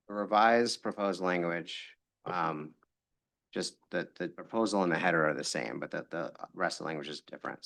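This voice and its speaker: Man, elections staff, very deep voice, careful and halting delivery at a board meeting. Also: a bit bright. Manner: measured, hesitant with fillers. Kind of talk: formal public meeting remarks.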